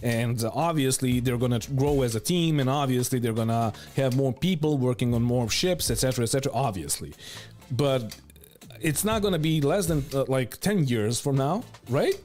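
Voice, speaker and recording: A man's voice: medium pitch, lively intonation, moderate pace, darkish tone, soft background music. A man in his thirties giving opinionated casual commentary in a blunt, emphatic way, close to the microphone. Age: 30s. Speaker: video commentator. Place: home studio room. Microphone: close boom microphone with headphones.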